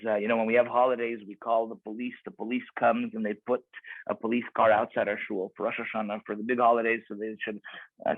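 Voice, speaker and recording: A man's voice: medium pitch, no room sound. A man speaks as a rabbi over a video call, earnest and prone to repeating words. The sound is thin and phone-like, with a dry, close feel. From Canada. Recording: tinny video-call audio.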